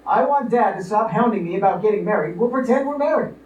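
An actor playing a flustered, scheming young bachelor in a live radio comedy play. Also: male voice, sits high, lively intonation, quick pace, a little mellow. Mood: eager, scheming. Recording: echoey, distant, noisy live stage recording.

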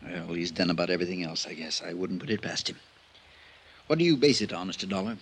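Radio play doctor: deep husky man, middle-aged, harried, remorseful, halting confessional delivery. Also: fairly bright tone, exaggerated voice. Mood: guarded. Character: harried, worn-out village doctor under sixty, remorseful drunk. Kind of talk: old time radio drama acting.

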